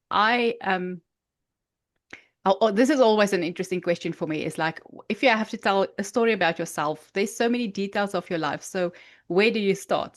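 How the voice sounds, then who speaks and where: South African woman telling her story. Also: on the low side. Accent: South African Afrikaans. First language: Afrikaans. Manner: searching, halting, many restarts. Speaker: podcast guest. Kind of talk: personal story in an interview.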